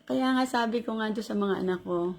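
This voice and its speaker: A woman in her sixties with a Filipino accent, telling a caregiving story in a casual, animated vlog, laughing often. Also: fairly high pitch, fast talker, gravelly. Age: 60s. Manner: animated, laughing, mixes Tagalog and English.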